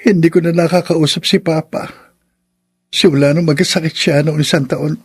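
High, husky, pleading young son. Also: male voice, fairly even intonation, normal speed. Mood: sorrowful, resigned. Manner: hesitant, deferential, pleading. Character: meek, pleading poor young son, long-suffering. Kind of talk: radio drama acting.

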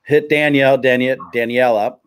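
A middle-aged man hosting a casual live video chat over a headset, talking in a relaxed, rambling way. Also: medium pitch, brisk, smooth. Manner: relaxed, rambling, many fillers. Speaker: host. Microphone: headset on a video call.

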